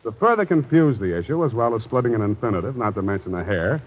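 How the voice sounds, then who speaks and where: An actor playing a cocky, sardonic American private detective in a 1940s radio style, brisk and self-assured. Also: male voice, mid-range voice, expressive, lightly acted. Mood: brisk, self-satisfied. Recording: muffled vintage radio recording.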